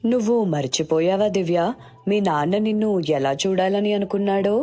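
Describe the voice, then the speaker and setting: A woman's voice: medium pitch, moderate pace, warm tone, lightly acted. Woman acting a plaintive poor village mother. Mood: gentle urging. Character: poor, humble, anxious village mother seeking housework. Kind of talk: dramatized moral story dialogue.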